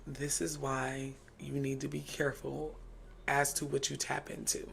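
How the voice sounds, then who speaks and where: Gravelly-voiced American woman co-hosting. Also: very deep voice, level tone, unhurried, fairly bright tone, a little hiss or hum. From United States. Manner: blunt, conversational, introducing clips. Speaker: co-host. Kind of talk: livestream commentary.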